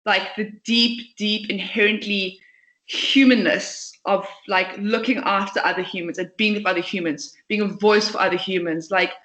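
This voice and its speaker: A woman's voice: mid-range voice, steady tone, normal speed, a bit bright. A young woman, a company founder, talking passionately and at length as a podcast guest. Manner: passionate, chatty, outspoken. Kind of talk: podcast interview. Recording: echoey room.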